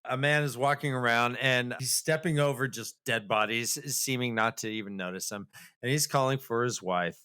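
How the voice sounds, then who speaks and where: A man co-hosting a casual, comedic podcast, retelling a story in an animated, jokey and halting way. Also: medium pitch, smooth. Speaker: podcast co-host.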